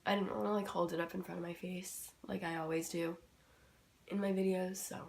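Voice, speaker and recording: A young woman vlogging a makeup tutorial from her bedroom, sounding tired and slightly raspy after just waking up, chatty and rambling. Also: on the low side, level tone, fairly quick. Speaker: beauty vlogger. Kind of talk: casual makeup tutorial chat.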